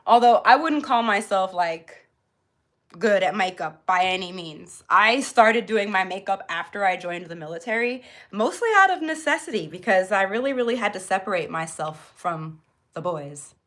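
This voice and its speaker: A woman's voice: medium pitch, expressive, normal speed, a bit bright. Chatty woman hosting a casual livestream. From born in Jamaica, grew up in Florida, US. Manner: informal, chatty, addresses live viewers directly. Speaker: beauty livestream host. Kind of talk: casual chatty live hair tutorial.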